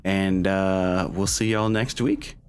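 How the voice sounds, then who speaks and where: A man's voice: low-pitched, fairly even intonation, slow pace, a little hiss or hum. A man hosting as a game master, chatting casually in an upbeat, informal way with players and viewers. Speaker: game master and stream host.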